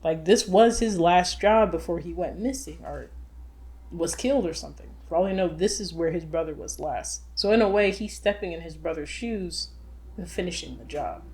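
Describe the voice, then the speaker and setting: A woman's voice: on the low side, moderate pace, a little mellow. A woman co-hosting a casual movie discussion, talking in a conversational, explanatory way. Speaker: show co-host.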